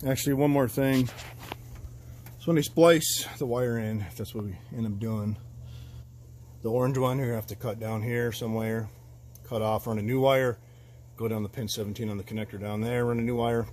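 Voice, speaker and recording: Rambling mechanic explaining wiring. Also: male voice, medium pitch, fairly even intonation, normal speed, darkish tone, a little hiss or hum. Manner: loose, rambling, with fillers. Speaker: auto mechanic. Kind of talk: casual repair walkthrough. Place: garage, under a truck.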